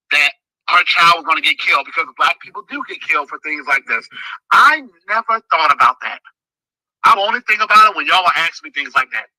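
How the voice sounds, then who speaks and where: A man with a strong, forceful voice speaking with fiery emotion. His voice sits high for a man and rings very bright. The sound is dry and close. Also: no echo, poor audio. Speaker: political candidate. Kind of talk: impassioned thank-you speech.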